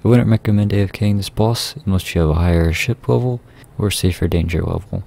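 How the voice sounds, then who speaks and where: A man reading a scripted video game guide in a measured, instructional way. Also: deep voice, vocal fry, dark tone. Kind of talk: scripted guide narration over gameplay.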